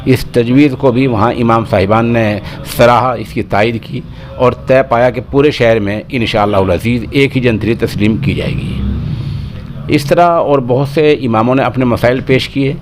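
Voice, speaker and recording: A man presents a news report over clearly audible noise. His voice sits at a middling pitch, and his diction is formal as he reads from a script. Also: measured pace. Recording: clearly noisy recording with ambient sound.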